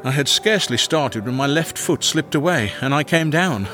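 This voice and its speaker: A man playing a fussy, self-important Victorian English gentleman, narrating his comic diary with precise, earnest pomposity. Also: medium pitch, animated, normal speed, music in the background, natural voice.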